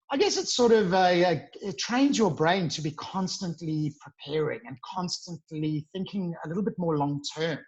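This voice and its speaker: A man's voice: fairly high pitch, dull audio. A man in his forties, an earnest, upbeat podcast guest chatting over a video call. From South Africa. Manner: upbeat, earnest, with bursts of emphasis. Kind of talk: casual interview chat.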